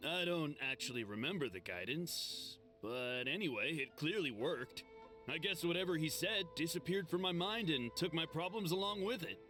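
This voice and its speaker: A man's voice: fairly high pitch, lively intonation, normal speed, bright, forceful tone, faint music behind. A man voicing a haughty, touchy young male devotee in an anime game, snobbish and gushing. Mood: defensive. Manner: snobbish, gushing, easily offended.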